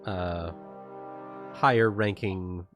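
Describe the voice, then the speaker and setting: Slow-spoken man running a tabletop game. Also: low-pitched, steady tone. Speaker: game master. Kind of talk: casual tabletop game narration, livestreamed. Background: loud music.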